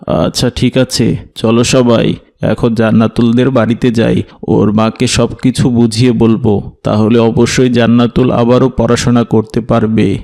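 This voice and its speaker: Man voicing a pious, even-toned cartoon benefactor. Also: medium pitch, unhurried, gravelly. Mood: earnest. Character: generous, pious adult man, a kindly benefactor. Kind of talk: scripted cartoon dialogue.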